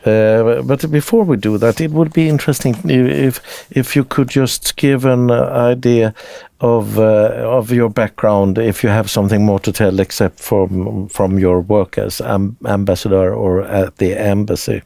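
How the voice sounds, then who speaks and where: A man's voice: on the low side, steady tone, measured pace, creaky, warm tone, no echo, studio quality. A man hosting an interview, asking polite questions and murmuring brief acknowledgements. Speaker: interviewer, host.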